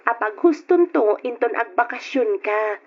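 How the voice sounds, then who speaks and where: An actress playing an affectionate, practical young wife and mother, fond and gently chiding, with a Filipino accent, in old-style radio drama. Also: a little high, animated, unhurried. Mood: reassuring. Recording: dull, muffled old radio drama recording.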